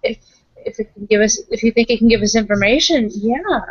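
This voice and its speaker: A woman with a fairly high voice plays her character casually in a tabletop roleplay. She thinks aloud and phrases things tentatively. The sound is very dry and a touch muffled. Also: lively intonation, brisk, husky, no room sound. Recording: dry, dull lo-fi audio.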